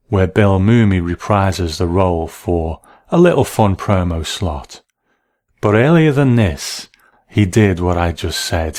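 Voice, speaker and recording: A man's voice: low-pitched, a little mellow. A man presenting scripted narration as the narrator of a show.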